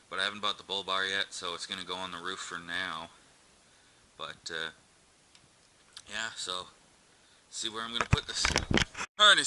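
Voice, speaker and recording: A man's voice: medium pitch, bright, forceful tone. A man hosting a do-it-yourself vlog, explaining a hands-on job in an informal, offhand way with some crude slang. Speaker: vlogger, DIY car channel host. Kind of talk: casual hands-on how-to narration. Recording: noisy amateur camera audio with clicks.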